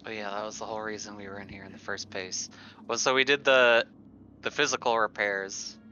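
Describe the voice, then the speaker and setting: A man's voice: on the low side, fairly even intonation, brisk, bright, forceful tone, highs cut off, faint music behind. A man chatting casually as a player in a tabletop roleplaying game.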